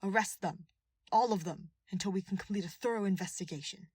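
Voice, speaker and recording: A woman's voice: medium pitch, brisk, darkish tone, husky, no echo, close to an everyday voice. A woman voicing a young male king, formal, stern and earnest, in an audiobook drama. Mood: stern, commanding.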